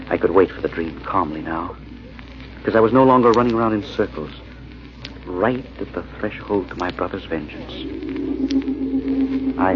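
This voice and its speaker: An actor playing an earnest, haunted amateur sleuth who also narrates an old-time radio thriller, dramatic and slightly halting. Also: male voice, low-pitched, natural voice. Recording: muffled old radio with heavy hiss.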